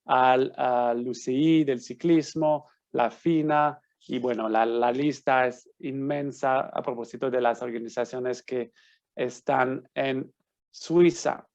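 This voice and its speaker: Swiss male lawyer, non-native Spanish, hesitant delivery, muffled lo-fi video-call audio, mid pitch. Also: steady tone, slow pace, a little mellow. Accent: Swiss, speaking Argentine-learned Spanish. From Switzerland. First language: not Spanish. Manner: hesitant, frequent restarts and fillers. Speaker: guest lecturer, lawyer. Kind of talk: online lecture. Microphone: computer mic on video call. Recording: slightly dull lo-fi sound.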